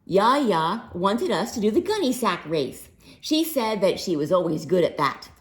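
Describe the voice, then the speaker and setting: A woman reading a children's story aloud with expressive, engaging narration, as if talking to young listeners. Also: medium pitch, unhurried. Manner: asks listeners questions, animated. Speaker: storybook reader.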